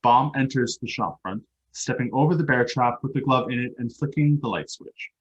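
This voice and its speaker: A man with a slightly husky voice reading stage directions as scripted narration for a play. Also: mid-range voice, normal speed, lo-fi sound. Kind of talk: scripted narration at a live table read.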